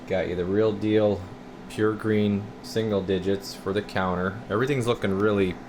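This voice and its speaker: A man's voice: lowish voice, moderate pace. A man speaking as a radio repair technician, giving a casual, folksy hands-on walkthrough to camera in an informal way. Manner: folksy, informal, addressing viewers as guys. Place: indoor radio repair workshop. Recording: clear steady room noise.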